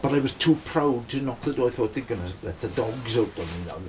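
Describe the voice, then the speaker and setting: A man's voice: mid-range voice, expressive, fast talker, noisy background. Welsh man telling a rambling story. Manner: rambling, keeps checking in with "right?". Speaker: band member telling a story. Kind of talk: casual storytelling.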